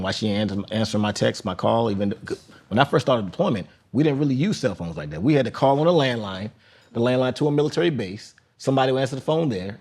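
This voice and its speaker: A man's voice: fairly low pitch, darkish tone. A man in his forties, a former Navy SEAL guest, speaking earnestly and reflectively, often repeating words as he thinks. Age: 40s. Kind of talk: casual interview.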